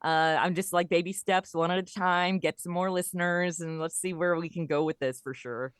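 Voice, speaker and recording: A woman's voice: fairly low pitch, steady tone, normal speed, dry recording, clean studio sound. A middle-aged woman, chatting casually and jokily as an interview guest. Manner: chatty, jokey, informal. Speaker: podcast guest, comedy writer and audio performer.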